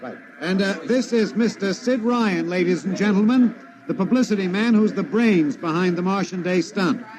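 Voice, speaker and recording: An actor playing an excitable radio reporter in a 1950s radio drama, addressing listeners with an announcer's showmanship while covering a grand parade. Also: male voice, high-pitched, moderate pace, a crowd in the background, close to an everyday voice. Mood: chatty, genial. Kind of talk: 1950s radio drama acting, live event commentary. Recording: noisy 1950s radio broadcast recording.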